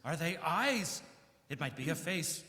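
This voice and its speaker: A man's voice: fairly high pitch, animated, unhurried, sharp tone. A man playing a horrified radio news reporter giving a stammering, dramatic eyewitness account in a live old-time radio drama. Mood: awed horror. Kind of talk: live radio drama acting, 1930s news bulletin manner. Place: live stage performance in a church. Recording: reverberant church hall.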